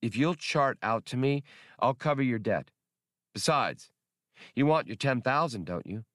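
A man voicing a self-assured, businesslike woman in an audiobook, brisk and chiding. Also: medium pitch, lively intonation, fairly bright tone, dry recording, clean studio sound, voice pushed up. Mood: brisk, persuasive.

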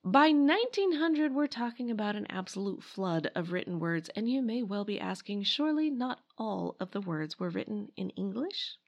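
A woman's voice: medium pitch, expressive, moderate pace, vocal fry, hardly any pauses, highs cut off. A woman hosting a podcast, reading scripted history narration in a wry, emphatic way. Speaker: podcast host.

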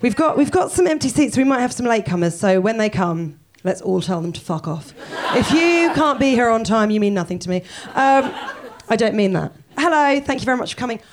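A woman hosting a live podcast on a theatre stage, joking and swearing with the audience. She is croaky from a cold. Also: on the high side, expressive, a little fast, slight background noise. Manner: self-deprecating, jokey, sweary. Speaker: podcast host.

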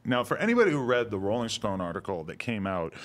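A young man with a fairly low voice talks casually as a podcast host. He tells rambling stories packed with slang and swearing. His delivery is loose and offhand. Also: very animated, quick pace. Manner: loose, profane storytelling with slang. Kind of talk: casual chat.

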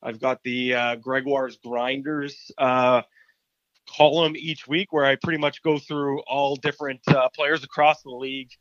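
A man with a raspy voice, answering interview questions in an easygoing way as a guest. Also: medium pitch, little pitch movement, a little slow, fairly bright tone, no echo, highs cut off. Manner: easygoing, laughs often. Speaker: guest columnist.

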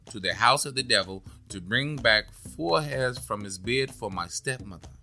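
A man's voice: medium pitch, lively intonation, normal speed, sharp tone. Earnest, dutiful storybook boy, voiced by a male storyteller, faint music behind. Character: weary, polite young boy on a quest.

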